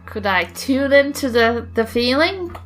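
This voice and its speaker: A woman's voice: on the high side, animated, a little slow, fairly bright tone. A woman chatting casually as a player in a tabletop game, playful and full of questions. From New Zealand.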